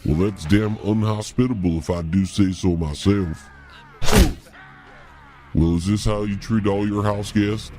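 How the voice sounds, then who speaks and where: A man's voice: fairly low pitch, fairly even intonation, measured pace, over music, natural voice. A man voicing a folksy, wry hillbilly captive in a cartoon, taking his time. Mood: wry, indignant. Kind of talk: cartoon acting.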